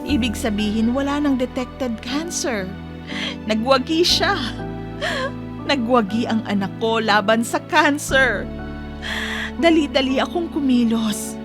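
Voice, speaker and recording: A woman's voice: fairly high pitch, lightly acted. A woman playing a radio hostess who reads a mother's letter as sentimental, earnest narration, with a Filipino accent. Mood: moved, proud. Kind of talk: scripted dramatic narration, radio drama. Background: music bed.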